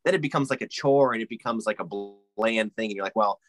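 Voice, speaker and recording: A man's voice: lowish voice, brisk, dry recording. A man co-hosting a casual podcast chat, leaning on fillers and tagging "right?" onto his points. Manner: fillers, keeps asking "right?".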